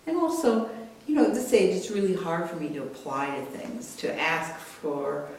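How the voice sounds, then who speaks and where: An American woman in her late sixties speaking as an author, measured, candid and thoughtful. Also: a little mellow. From United States. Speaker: author and artist being interviewed. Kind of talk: reflective interview talk and reading aloud from own book. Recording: roomy echo, distant mic, rumbly noise.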